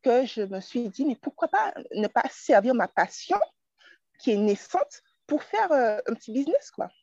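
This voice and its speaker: A young woman from Martinique tells her story as a guest in a relaxed, chatty way. Her voice sounds dull and lo-fi. The recording is dry and close, with no room sound. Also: on the high side, moderate pace, no echo. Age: 20s. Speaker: guest entrepreneur. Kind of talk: casual interview conversation.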